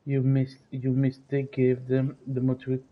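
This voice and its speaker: A man's voice: medium pitch, monotone, a little slow. A man streaming a game, chatting casually in reaction to it and often repeating himself. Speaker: gaming streamer. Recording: dull lo-fi mic, faint game music.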